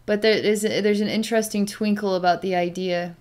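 Halting, meditative middle-aged woman. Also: mid-range voice, steady tone, darkish tone. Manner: halting, meditative, with long pauses and sighs. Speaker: animal communicator, psychic. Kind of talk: slow narration of a psychic session with pets, thinking aloud. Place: small plain room indoors.

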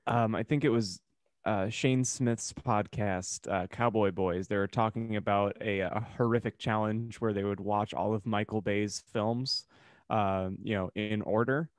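A man's voice: on the low side, steady tone, measured pace, no echo, clean studio sound. A man co-hosting a podcast in casual, joking banter. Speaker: podcast co-host. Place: home room, online group call. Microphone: close podcast microphone.

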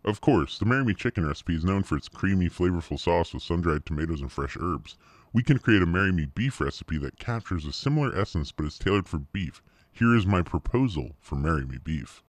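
A man voicing a deep, flat chatbot assistant. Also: fairly quick, no room sound. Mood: matter-of-fact. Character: chatbot assistant reading a recipe reply. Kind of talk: text-to-speech reading.

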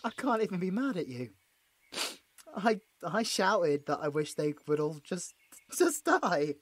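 A woman playing an anguished, guilt-ridden young British male adventurer in an audio roleplay, halting and emotional. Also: lowish voice, big pitch swings, slightly rough, exaggerated voice. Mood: shaken, bitter. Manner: halting, emotional, breaking into bitter laughs.

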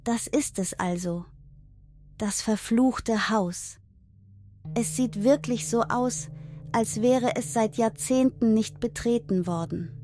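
A woman's voice: a little high, takes it slowly, warm tone, faint music behind. A woman playing a curious, uneasy young woman exploring a haunted house in a horror audio drama. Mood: wary awe. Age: young adult.

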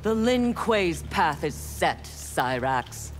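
A woman's voice: fairly low pitch, very animated, takes it slowly, soft background music. A woman voicing a proud, ruthless young female ninja warrior in a video game, speaking in a cold, haughty, clipped way.

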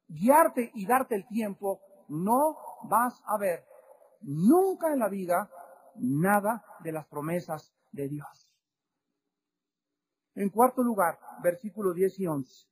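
A man preaching an impassioned sermon that rises to shouts. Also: sits high, slight background noise, poor audio.

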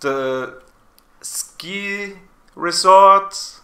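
A man's voice: sits high, extremely slow, bright, forceful tone. A man hosting a casual film review podcast, joking and laughing often, with hesitant restarts. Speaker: podcast host.

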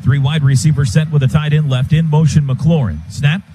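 High-voiced American man calling football play-by-play. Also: steady tone, brisk. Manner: clipped short phrases of running play description. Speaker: radio play-by-play announcer. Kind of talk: live American football radio commentary. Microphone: broadcast booth microphone. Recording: live stadium broadcast, faint ambient noise.

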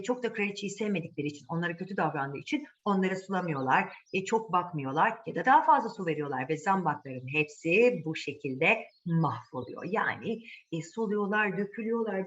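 A woman's voice: on the low side, lively intonation, brisk. Woman storyteller with music behind. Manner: conversational, asks listeners questions. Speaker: children's storyteller. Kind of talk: animated storytelling addressed to children. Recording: muffled audio with music behind.

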